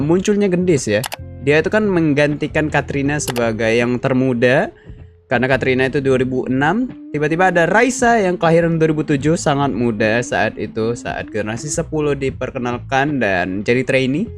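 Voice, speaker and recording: A young man hosting a casual fan-commentary video, chatty and slangy, full of fillers and tag questions. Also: a little high. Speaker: YouTube channel host.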